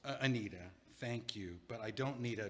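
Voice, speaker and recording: An actor playing a heartbroken young man who was just left, talking in a halting, stammering, dazed way in an audio drama. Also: medium pitch, a bit bright, long reverb tail, close to an everyday voice.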